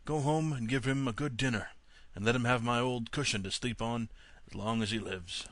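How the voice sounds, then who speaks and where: A man's voice: mid-range voice, moderate pace, fairly bright tone, no echo, natural voice. A man voicing a practical shepherd in a fairy tale, firm and matter-of-fact. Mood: grateful. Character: blunt, practical shepherd master.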